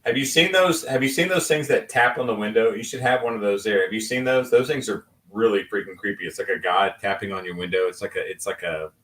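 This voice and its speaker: A man's voice: fairly low pitch, fast talker, few pauses, fairly bright tone, far from the mic. A man in his fifties chatting animatedly and jokingly, often repeating himself mid-sentence. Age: 50s. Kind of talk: casual chat.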